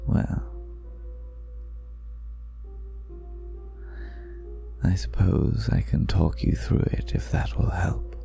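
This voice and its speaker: A man playing a seductive, ageless vampire in an intimate ASMR roleplay, speaking slowly and half in whispers, teasing with quiet menace, close to the microphone. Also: full character voice. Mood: calm, explaining. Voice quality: very deep, gravelly. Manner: slow, breathy half-whispered delivery with lingering pauses.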